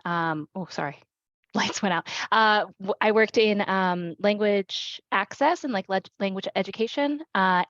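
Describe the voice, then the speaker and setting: Dull, dry call audio carries a woman's casual self-introduction. She speaks haltingly, with hesitant phrasing, as a participant on a group call. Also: mid-range voice, no room sound. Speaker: coding program participant.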